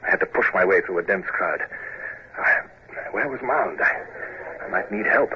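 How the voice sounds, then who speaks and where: An actor playing a commanding, urgent British naval commodore in an old-time radio drama, with clipped, authoritative delivery. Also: male voice, low voice, animated, moderate pace, noisy background. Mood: tense recounting. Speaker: lead actor and narrator. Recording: dull, muffled old radio recording.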